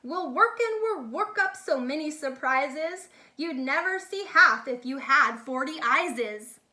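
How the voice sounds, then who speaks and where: A woman reads aloud to young children in a high, bright voice. Her delivery is slow and deliberate but animated, like a teacher sharing a picture book and pausing to explain things to her listeners.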